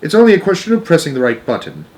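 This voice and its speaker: A man voicing a stern old grandmother who explains things gravely and with authority in a storybook reading. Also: fairly high pitch, huge pitch swings, rapid-fire, a little mellow, pitched above the performer's own voice. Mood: emphatic. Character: stern, knowing old grandmother, retired witch hunter.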